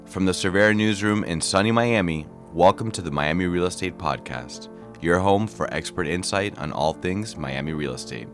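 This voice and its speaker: A man's voice: sits low, normal speed, a bit bright, faint music behind. A man hosting a real estate podcast, reading a polished, upbeat intro and asking interview questions. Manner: upbeat, polished announcer delivery. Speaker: podcast host.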